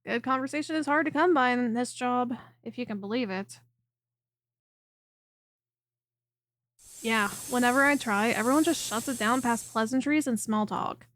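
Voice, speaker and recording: A woman voices a snake-bodied monster girl who works as a chiropractor in an ASMR audio roleplay. The voice is high and very dry, close to the listener with no room sound. The delivery is soft and attentive, clingy and coaxing, with a teasing streak. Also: a little fast, hardly any pauses, dry recording, close to an everyday voice. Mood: wry, candid. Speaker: voice performer.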